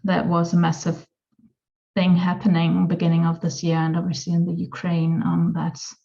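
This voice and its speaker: A woman's voice: lowish voice, monotone, moderate pace, vocal fry, warm tone. A woman interviewing a guest online, asking questions hesitantly with many fillers. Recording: dull lo-fi audio.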